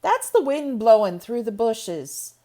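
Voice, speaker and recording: A woman's voice: fairly high pitch, big pitch swings, takes it slowly, dark tone, voice pushed up, full character voice. A woman voicing a plain-spoken middle-aged housekeeper who speaks firmly and matter-of-factly. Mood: brisk.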